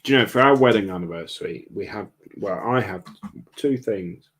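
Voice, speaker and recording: Man co-host, husky, lowish voice, dry teasing humour. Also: expressive, normal speed, a bit bright. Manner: joking, teasing. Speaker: livestream co-host. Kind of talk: casual banter.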